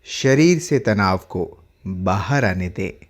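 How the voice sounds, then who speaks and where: A man working as a yoga instructor, leading a guided relaxation in short instructive phrases with long pauses between them. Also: low voice, animated.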